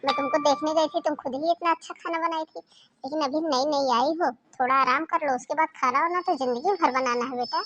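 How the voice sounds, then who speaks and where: A woman voicing a warm, affectionate middle-aged mother-in-law with a coaxing, motherly manner. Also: sits high, fairly quick, lo-fi sound, a little hiss or hum. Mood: indulgent.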